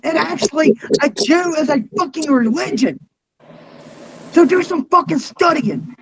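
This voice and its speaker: A man's voice: extremely high pitch, lively intonation, fairly bright tone, a little hiss or hum, poor audio. A man in his twenties co-hosting a casual fan interview, blunt and heavy on the swearing. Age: 20s. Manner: profane and blunt, quick to rant. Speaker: podcast co-host.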